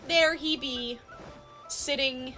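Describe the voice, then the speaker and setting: A woman's voice: high voice, steady tone, takes it slowly, sharp tone. Female streamer, casual play commentary, chatty but leisurely, light background music. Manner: chatty, thinking aloud. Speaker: game streamer. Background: light game music.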